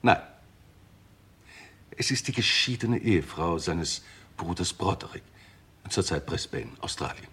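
An actor playing a formal, circumspect lawyer in a German radio crime drama, speaking precisely and deliberately. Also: male voice, low-pitched, animated, a bit bright, husky, full character voice. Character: formal, circumspect male lawyer reporting a will.

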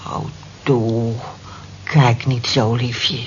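A woman's voice: extremely low pitch, fairly even intonation, very slow, a little mellow, heavily acted. An actress playing a blunt, motherly female workmate in a radio drama, practical and consoling, frank and coaxing in manner. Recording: muffled, noisy old radio play recording.

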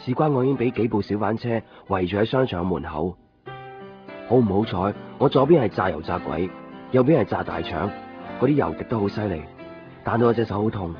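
A man's voice: lowish voice, animated, fairly quick, natural voice. An actor playing a stubborn, lovelorn young man in a radio drama, speaking with a Hong Kong Cantonese accent. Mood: melancholy narration. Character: lovelorn, stubborn young man waiting, guarding his coins. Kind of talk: radio drama acting with first-person narration. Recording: muffled 1990s radio drama recording, music.

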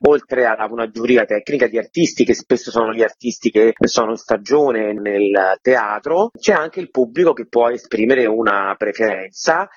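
Husky man explaining, dry muffled sound. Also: mid-range voice, no echo. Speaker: theatre artistic director, interview guest. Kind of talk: spontaneous explanatory interview answers. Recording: dull lo-fi line, dry.

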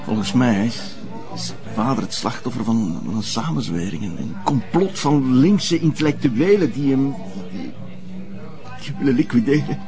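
An actor playing a troubled, pleading son in a drama, speaking hesitantly. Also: male voice, medium pitch, lively intonation, normal speed, music in the background, lightly acted. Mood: anxious. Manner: hesitant, repeating himself. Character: troubled, anxious, pleading son of threatened father. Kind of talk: naturalistic Dutch-language drama acting.

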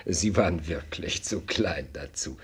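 An actor playing an elderly courtly statesman in a German radio drama, speaking solemnly and theatrically with a husky voice. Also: deep voice, a little slow, heavily acted. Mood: solemn, confiding. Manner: solemn, ceremonious, theatrical delivery. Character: elderly courtly statesman addressing his young prince.

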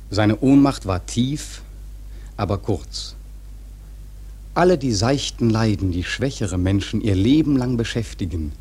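Husky male narrator of a literary drama. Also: lowish voice. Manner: measured, expressive storytelling.

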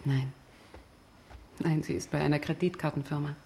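Jaded woman, low creaky dark voice. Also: steady tone, normal speed, a little hiss or hum. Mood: bitter, weary. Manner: blunt, sardonic. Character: jaded, blunt woman working in premium customer service. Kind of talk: naturalistic radio drama acting.

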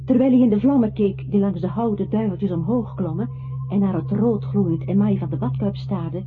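A woman acting as a narrator in a literary radio drama, with measured storytelling. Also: mid-range voice, music in the background, natural voice. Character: woman narrator telling a brooding man's story. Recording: thin phone-like sound with clear noise.

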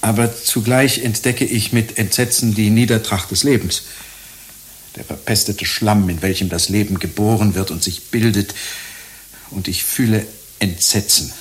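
An actor playing an anxious, lovestruck ageing friar in a radio drama, hesitant, stammering and plaintive. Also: male voice, fairly low pitch, steady tone, normal speed, close to an everyday voice. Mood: anguished, exalted.

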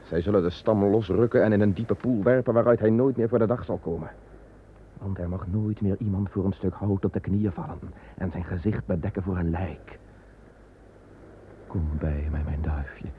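A man's voice: low voice, moderate pace, lightly acted. A man playing a commanding yet tender king who soothes his frightened beloved, in an old radio drama. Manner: soothing, solemn, archaic speech. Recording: muffled old radio recording, faint hiss.

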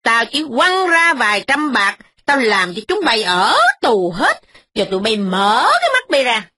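Woman voicing a shrill, domineering old matriarch. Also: sing-song, a bit bright, no room sound, clean studio sound, full character voice. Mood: furious. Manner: shrieking, scolding rapidly. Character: shrill scolding old mother-in-law, domineering.

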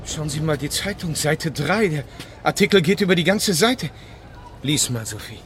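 An actor playing an earnest, emotional young French Egyptologist in a German radio play. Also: male voice, fairly high pitch, animated, moderate pace, natural voice. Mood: excited, indignant.

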